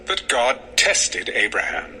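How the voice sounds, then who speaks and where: A man narrating a dramatized scripture text in a solemn, measured, formal style. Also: medium pitch, big pitch swings, pushed and bright, slight background noise, poor audio. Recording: music under the voice.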